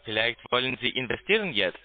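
A man speaks in a medium-pitched voice over a narrow, muffled phone line. He has a foreign accent and is not a native speaker. His sales pitch is halting and broken, as he keeps searching for words. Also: very animated. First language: not German. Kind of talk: sales call. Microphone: telephone.

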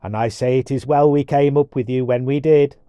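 A man's voice: mid-range voice, fairly even intonation, a little fast, clean tone, dry recording, muffled recording, close to an everyday voice. A man voicing a proud, talkative dwarf companion who speaks in formal, old-fashioned phrases, in a storybook tale. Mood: hearty, approving. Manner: wordy, formal archaic speech.

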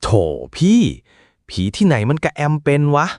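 A man voicing a calm, teasing younger ghost-hunting sidekick in a cartoon. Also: normal speed, no echo, studio quality, lightly acted. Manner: easygoing, teasing. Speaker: cartoon voice actor.

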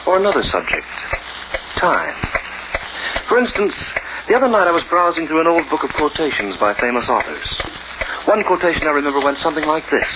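An actor playing a reflective, literary narrator musing in an old-time radio drama. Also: male voice, a little high. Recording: muffled, noisy old radio recording.

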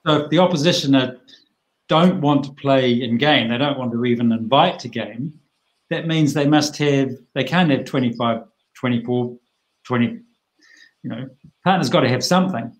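A man's voice: mid-range voice, moderate pace, rough recording. Chatty middle-aged man teaching bridge. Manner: chatty, plain-spoken, animated asides. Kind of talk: explaining a bridge hand on a video call. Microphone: video call.

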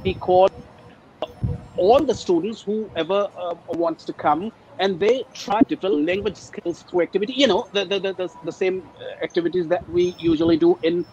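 Halting male teacher, native Urdu speaker. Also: sits high, lo-fi sound, background noise. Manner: halting, many hesitations. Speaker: ESL teacher. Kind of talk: panel discussion. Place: teaching abroad. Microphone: video call.

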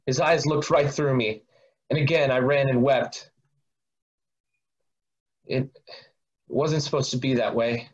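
A man's voice: medium pitch, steady tone, slow, long pauses, lightly acted. A man acting as an impulsive disciple full of remorse, confessing in a dramatic reading. He halts at times, and the sound is slightly muffled, like a video call. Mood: ashamed. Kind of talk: amateur dramatic monologue reading.

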